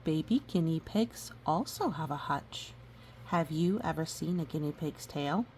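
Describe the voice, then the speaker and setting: A woman reading a storybook aloud gently and adding educational asides. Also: sits low, expressive, measured pace. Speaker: storybook reader. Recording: faint background noise.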